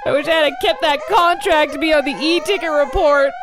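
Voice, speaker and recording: A woman's voice: sits high, fairly quick, heavy background noise. A woman's prerecorded correspondent report in a synthetic voice, ranting in exasperation with swearing and mock sobbing. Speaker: remote correspondent.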